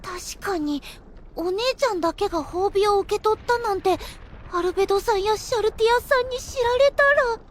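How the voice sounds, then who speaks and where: An actress playing a meek young elf boy in an anime audio drama, hesitant and softly polite with a stammer. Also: very high voice, moderate pace, slight background noise. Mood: meek, nervous.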